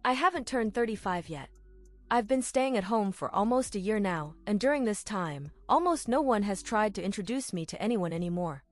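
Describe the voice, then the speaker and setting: A woman's voice: mid-range voice, expressive, normal speed, no echo, faint music behind. Earnest woman in a translated voice-over.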